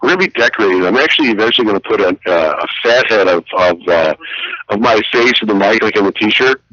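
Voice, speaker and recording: Male co-host, bright voice with lively swings, teasing and quick to laugh; muffled audio. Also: lowish voice. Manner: joking, teasing, laughs often. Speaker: podcast co-host. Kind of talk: casual chat.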